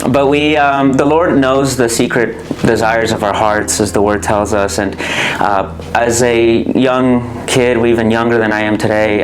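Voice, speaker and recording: A man's voice: fairly low pitch, a little hiss or hum, poor audio. Reverent young man. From Colombia. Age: 20s. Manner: grateful, reverent, thoughtful. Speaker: guest. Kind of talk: interview, faith testimony.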